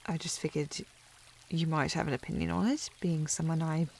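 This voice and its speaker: Casting: actress as prim, snooty young lady of the manor, British accent, fry-edged voice, coy and prickly, intimate period ASMR roleplay. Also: sits low, steady tone, normal speed, a little mellow, a little hiss or hum. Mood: coy, hushed. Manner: prim, snooty, formal phrasing with coy indirectness. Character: haughty, flustered young noble lady of the house, coy. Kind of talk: intimate ASMR historical romance audio roleplay.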